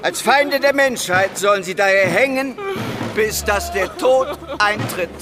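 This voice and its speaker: A high-voiced colonial judge, reading out charges and sentence at a slow, formal pace. He sounds dry and impatient, in a radio drama with music behind. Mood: officious. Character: bored, officious male judge of the Crown passing sentence. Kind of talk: historical radio play acting.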